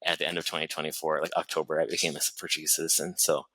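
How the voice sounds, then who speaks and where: Podcast guest, man with a Boston accent: deep, chatty, fast, lots of fillers. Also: little pitch movement, pushed and bright, no echo. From New Bedford, Massachusetts, USA. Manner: rapid, chatty storytelling with many fillers and restarts. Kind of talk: casual interview chat.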